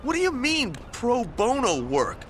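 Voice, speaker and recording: A jumpy stammering mechanic. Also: male voice, extremely high pitch, huge pitch swings, measured pace, heavily acted. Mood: scared. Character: nervous cowardly young male mechanic. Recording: game audio with background noise.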